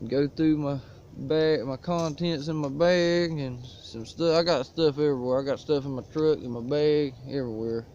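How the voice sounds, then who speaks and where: A man's voice: a little high, warm tone. A man casually showing his everyday gear in a folksy, laid-back drawl, filmed outdoors in the woods on a propped-up cell phone. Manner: laid-back rural drawl, folksy, coughs now and then. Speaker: outdoors vlogger. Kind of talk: casual show-and-tell vlog. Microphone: cell phone propped on a stick. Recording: dull lo-fi phone audio, faint hiss.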